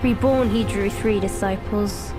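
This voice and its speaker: Casting: a woman to play a disdainful, measured mythic game narrator, unhurried, under prominent music. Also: medium pitch, fairly even intonation, close to an everyday voice. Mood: scornful. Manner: measured, contemptuous. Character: scornful, scheming woman storyteller of myth.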